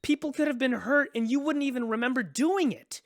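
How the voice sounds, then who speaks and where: An actress playing a stern, principled farmer in a radio drama, with an intense, accusing manner. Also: female voice, high voice, fairly quick, no room sound, clean studio sound. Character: stern, principled, wounded young farm owner.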